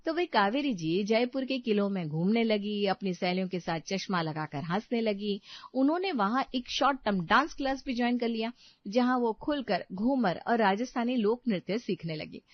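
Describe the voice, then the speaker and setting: A woman's voice: medium pitch, lively intonation, normal speed, no echo, lo-fi sound. A woman narrating a scripted domestic story as a storyteller.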